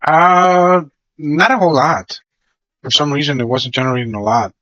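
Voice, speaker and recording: A man's voice: fairly high pitch, steady tone, moderate pace, sharp tone, no room sound, dull audio. A man from Mexico, a real estate agent on a sales call, talking hesitantly and restarting his words.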